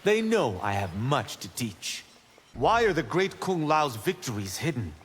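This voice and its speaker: Boastful young martial monk, husky edge, animated intonation, game banter, long reverb. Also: male voice, mid-range voice, unhurried. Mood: earnest, proud. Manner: boastful, self-assured. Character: cocky young martial arts monk champion, proud and eager. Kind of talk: video game character banter.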